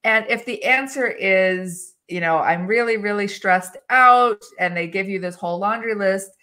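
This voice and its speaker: A woman gives advice on a live video call, her pitch sitting mid-range. She talks in an animated way, laughs often and acts out little snippets of dialogue. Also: normal speed. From English-speaking country. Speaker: HR expert guest. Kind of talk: live webinar discussion.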